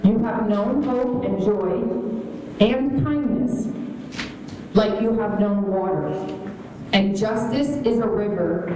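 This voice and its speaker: A woman's voice: mid-range voice, warm tone, a little hiss or hum. Heartfelt woman emcee in a hall. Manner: slow, heartfelt, deliberate. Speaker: event emcee. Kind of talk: reading quotes aloud at a podium. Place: large hall on stage. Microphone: handheld mic. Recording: echoey hall heard from far off, crowd behind.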